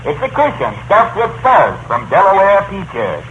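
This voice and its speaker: A man's voice: sits high, wildly swooping pitch, measured pace, background noise, heavily acted. A man playing a cheery, coaxing Santa Claus in a 1930s radio comedy. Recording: muffled 1930s radio broadcast recording.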